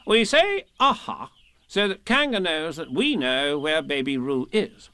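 A man's voice: on the high side, sing-song, measured pace, sharp tone, smooth, no room sound, voice pushed up, exaggerated voice. A man voicing a self-important, scheming rabbit from a storybook in a pompous, declaiming manner. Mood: bossy.

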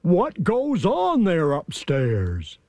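A man voicing a gentle, patient, big-hearted male moose in a storybook reading, slow and kindly. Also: high-pitched, huge pitch swings, no echo, heavily acted.

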